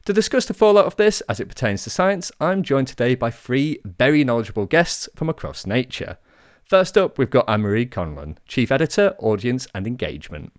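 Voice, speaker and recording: A man hosting a science podcast, reading a scripted introduction in a measured way. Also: medium pitch, very animated, dry recording, clean studio sound. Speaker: podcast host.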